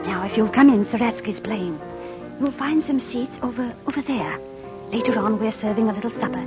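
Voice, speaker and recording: Woman acting a bright-pitched society hostess, old radio. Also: a little fast, natural voice. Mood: gracious. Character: gracious, chatty upper-class society hostess. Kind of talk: 1940s radio drama acting. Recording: muffled old radio recording over music.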